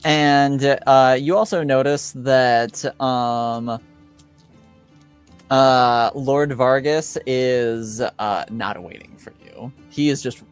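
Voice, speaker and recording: A man narrating an improvised tabletop game as game master, halting and searching for his words. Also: medium pitch, smooth, soft background music.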